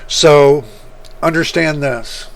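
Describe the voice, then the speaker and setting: A man hosting his computer-building channel, talking casually and rambling, with a rough voice that repeats points as he goes. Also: a little high, steady tone. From United States. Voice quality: rough, gravelly voice. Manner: slow, rambling, repeats himself. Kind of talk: casual talk to camera.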